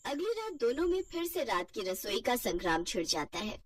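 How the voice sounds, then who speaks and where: A woman's voice: fairly high pitch, very animated, normal speed, far from the mic, a little hiss or hum. A woman telling a scripted family cartoon story as narrator.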